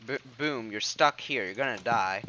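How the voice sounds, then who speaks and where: A man's voice: medium pitch, normal speed, sharp tone, highs cut off, a little hiss or hum. A young man giving casual, rambling commentary over gameplay, sounding a bit congested. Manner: rambling, filler-heavy, pointing at footage. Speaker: gaming commentator. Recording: game sounds and gunfire behind.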